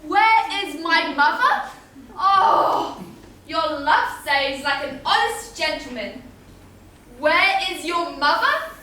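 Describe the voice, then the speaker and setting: Shakespearean teenage heroine, female actor: impatient, pleading, very high voice, slow delivery, distant in a reverberant hall. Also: expressive, bright, forceful tone, exaggerated voice. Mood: indignant. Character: impatient, lovestruck teenage girl awaiting news. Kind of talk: Shakespearean stage acting. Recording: echoey hall, distant mic, faint noise.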